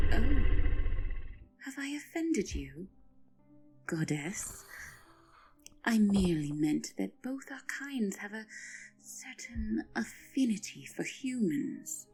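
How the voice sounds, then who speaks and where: A woman's voice: medium pitch, sing-song, slow, pauses often, a little mellow, husky, faint music behind, heavily acted. A woman playing a smug, deferential griffin courtier in an audio roleplay, speaking with ceremonious politeness.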